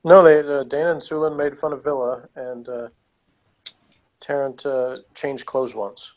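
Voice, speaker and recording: A man's voice: mid-range voice, level tone, measured pace, darkish tone, highs cut off. A man chatting casually and unscripted as a reviewer, with a wry, joking manner. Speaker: TV episode reviewer.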